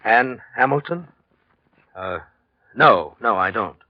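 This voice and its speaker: An actor playing a nervous, evasive male painter, halting and defensive, in a 1940s radio drama. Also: lowish voice, lively intonation. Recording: dull 1940s radio recording.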